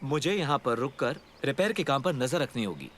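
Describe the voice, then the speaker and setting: Man as a responsible cartoon dad, expressive delivery. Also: medium pitch, fairly quick, slight background noise, lightly acted. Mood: earnest. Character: responsible, reassuring middle-aged cartoon father. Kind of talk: animated children's comedy dub in Hindi.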